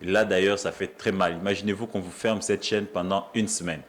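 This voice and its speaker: A man's voice: low voice, fairly even intonation, fairly quick, slight background noise. A man with a Congolese accent, a journalist arguing emphatically as a debate guest, often repeating his phrases for weight. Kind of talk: animated political debate.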